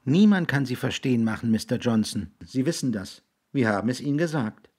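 A man's voice: mid-range voice, lively intonation, a little fast, breathy edge, pitched above the performer's own voice. A man voicing earnest young boys in an audiobook, solemn and patiently insistent. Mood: solemn, innocent. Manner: patient, gently insistent explaining.

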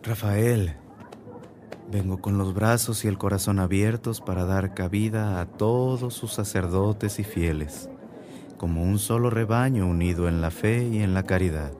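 A low-pitched, dignified bishop acted by a man speaks in a radio drama. He is solemn and benevolent, with kindly regard for his priests. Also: a little slow, noisy background, close to an everyday voice. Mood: solemn, welcoming.

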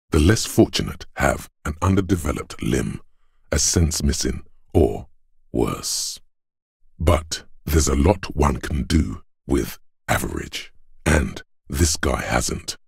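A man voicing a cold, analytical inner voice in a detective video game, very deep, gravelly and husky, speaking in a measured, detached way. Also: darkish tone, no echo, studio quality, heavily acted. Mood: cold, dismissive. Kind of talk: scripted video game narration.

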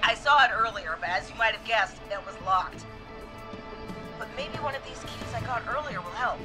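Actress as frightened, high-voiced woman in a thriller game. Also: expressive, moderate pace, over music, close to an everyday voice. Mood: tense, searching. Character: resolute but frightened woman police dispatcher trapped by a killer. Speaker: voice actor in a video game. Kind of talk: dramatic video game voice acting.

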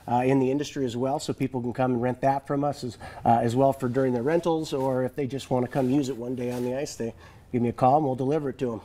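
A man in his thirties with a mellow, darker voice talks in an easygoing, chatty way, full of "uh"s and restarts. He is a fishing guide speaking on a TV studio set through a lapel mic. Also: mid-range voice, quick pace. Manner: relaxed and chatty, many "uh"s and restarts, chuckles often. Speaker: fishing guide and business owner. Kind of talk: casual TV studio interview.

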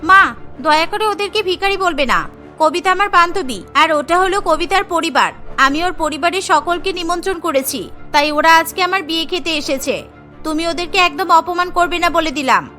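A woman voicing a kind-hearted young rich bride in a cartoon, who speaks warmly and stands firm for her friend. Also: extremely high pitch, normal speed, soft background music. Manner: warm, firm when defending friend.

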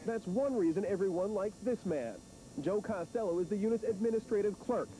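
Male TV reporter, thirties, high and fast, live on location, slight background hiss. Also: mellow, poor audio. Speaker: TV field reporter. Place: outdoors at an army base, on location. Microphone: handheld reporter microphone. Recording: faint hiss and rumble, old TV broadcast.

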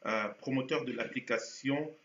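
A man's voice: medium pitch, moderate pace, fairly bright tone. A middle-aged man hosting a studio talk show, introducing guests with frequent hesitations and restarts. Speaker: TV show host. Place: TV studio set with virtual backdrop. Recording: roomy, dull sound with faint hiss.

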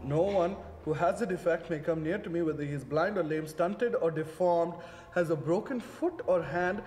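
A man's voice: on the high side, moderate pace, a little mellow, close to an everyday voice. Actor as an eager young man. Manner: eager, reads aloud. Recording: echoey hall, faint noise.

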